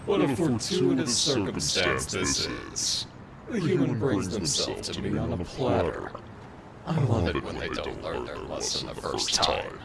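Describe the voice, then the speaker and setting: Super low growly-gravel werewolf villain, arrogant, theatrical, menacing, audio drama. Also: male voice, huge pitch swings, unhurried, a loud crowd, exaggerated voice. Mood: gloating. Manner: theatrical, gloating, formal wording. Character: arrogant, menacing, vengeful male rival werewolf. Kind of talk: dramatic roleplay audio acting.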